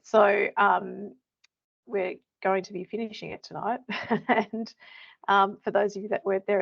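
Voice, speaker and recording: A middle-aged Australian woman with a croaky voice gives a chatty webinar presentation as an education manager, with many fillers and restarts. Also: mid-range voice. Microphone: computer mic on a video call. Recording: dull lo-fi webinar audio.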